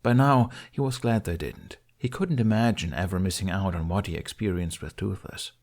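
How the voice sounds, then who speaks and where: A man with a fairly low voice narrates an audiobook. He reads scripted fiction expressively, as a storyteller. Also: moderate pace, a little mellow.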